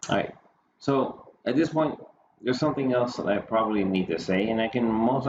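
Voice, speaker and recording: Male presenter, low-pitched, halting explanatory delivery, muffled recording with clear background noise. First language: Spanish or English, bilingual. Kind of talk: conference presentation.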